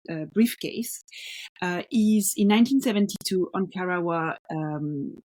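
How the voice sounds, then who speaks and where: Woman co-host explaining art, hesitant. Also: on the low side. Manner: explanatory, many fillers and restarts. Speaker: podcast co-host. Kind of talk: casual conversation about art.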